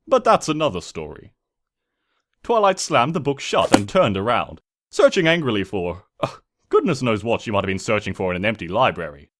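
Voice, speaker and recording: A man voicing a smug, mischievous trickster spirit who narrates a comic storybook in a pompous, sardonic, theatrical way. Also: medium pitch. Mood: mock wounded.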